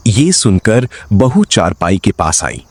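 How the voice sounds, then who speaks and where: A man narrating a scripted horror story dramatically, with suspenseful pauses. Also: on the low side, very animated, husky, dry recording.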